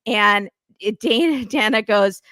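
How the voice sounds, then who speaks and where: Woman co-host, bright forceful tone, dry close mic, sarcastic and laughing. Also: medium pitch, a little slow, dry recording. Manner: laughs often, sarcastic quips. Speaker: podcast co-host. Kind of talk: casual reality TV recap chat.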